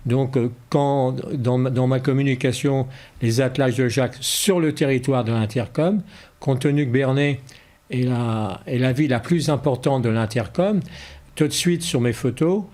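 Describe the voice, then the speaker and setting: A man's voice: medium pitch, normal speed, a little mellow. A man being interviewed in a casual way, repeating words as he searches for his phrasing. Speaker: interview guest, horse-drawn carriage driver.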